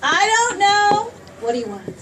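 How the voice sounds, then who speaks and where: A woman's voice: very high-pitched, very animated, measured pace, noisy background, full character voice. An actress playing a spirited, teasing young small-town woman in an old-time radio play. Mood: coy. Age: young adult. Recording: distant stage mic.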